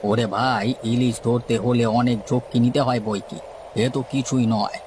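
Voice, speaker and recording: A man's voice: mid-range voice, fairly even intonation, moderate pace, close to an everyday voice. Man playing a bossy cartoon boatman over background noise. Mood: knowing, explaining. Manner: folksy, assertive, lecturing. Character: seasoned, boastful, bossy village boatman and fisherman. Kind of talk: cartoon story dialogue.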